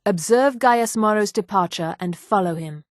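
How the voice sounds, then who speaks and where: A woman playing a poised, coolly menacing elf assassin in a fantasy video game, speaking with formal, measured, deliberate diction. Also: mid-range voice, no echo, clean studio sound, lightly acted. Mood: commanding.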